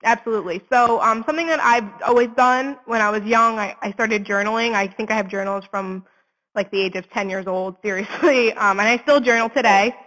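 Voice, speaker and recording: A woman's voice: a little high, brisk, a bit bright. Young woman in echoey reverb. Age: 20s. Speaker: interview guest, suicide prevention advocate. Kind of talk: candid interview talk.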